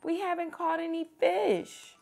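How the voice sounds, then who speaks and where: An eager little storybook critter boy, acted out by a woman, pipes up in a raised voice. He sounds keen and pleading, with soft music behind him. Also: very high-pitched, steady tone, unhurried, gravelly, pitched above the performer's own voice, exaggerated voice.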